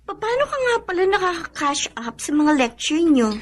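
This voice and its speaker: A warm young Filipina best friend in a radio drama. Her voice is high and very animated, full of friendly admiration. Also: normal speed. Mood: warm, admiring. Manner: expressive, friendly.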